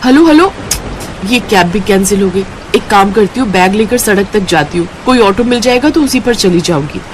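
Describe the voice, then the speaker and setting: A woman's voice: medium pitch, animated, moderate pace, slight background noise, natural voice, low-quality recording. A woman voicing a stubborn, impatient young mother in a cartoon story, speaking insistently. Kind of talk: Hindi cartoon story voice acting.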